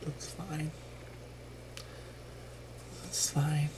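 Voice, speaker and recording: Unhurried host with a lingering cough, chatty think-aloud game commentary, light room noise. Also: medium pitch, little pitch movement. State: lingering cough after illness. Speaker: live streamer. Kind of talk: casual live board game playthrough commentary.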